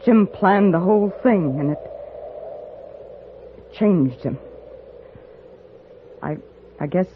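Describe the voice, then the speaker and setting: A bereaved frontier woman speaks slowly and haltingly, full of sorrow. The style is 1950s radio drama, on a thin recording with steady noise. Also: lowish voice, phone-quality sound. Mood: grieving. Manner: halting, mournful. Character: Anna, sorrowful woman who lost her fiancé. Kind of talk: 1950s radio western acting.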